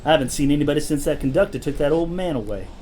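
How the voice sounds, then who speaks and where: A man's voice: fairly high pitch, expressive, racing, darkish tone, soft background music, lightly acted. A man playing a wry gambler and train traveler in a western audio drama, with a dry manner. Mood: uneasy.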